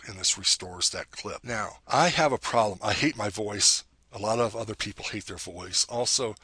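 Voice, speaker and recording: A man with a deep voice giving a screen-recorded software tutorial, explaining each step plainly and now and then correcting himself. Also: husky, dry recording.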